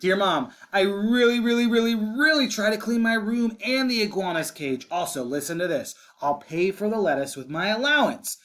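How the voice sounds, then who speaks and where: A man's voice: high voice, expressive, moderate pace, hardly any pauses, fairly bright tone. A man voicing an eager young boy in a storybook, earnest and wheedling as he tries to persuade his mother. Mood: pleading. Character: eager pleading young boy writing letters to mom. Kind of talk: storybook read-aloud for children.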